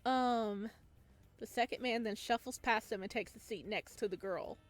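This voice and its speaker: A woman's voice: fairly high pitch, moderate pace, faint music behind. A woman reading a visual novel aloud as a let's play host, with casual, amused asides.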